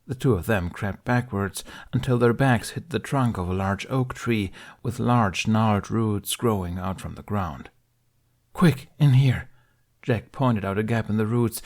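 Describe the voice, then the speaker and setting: A man reading a fan fiction aloud as an audiobook, in soft, measured storytelling. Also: fairly low pitch. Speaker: podfic reader.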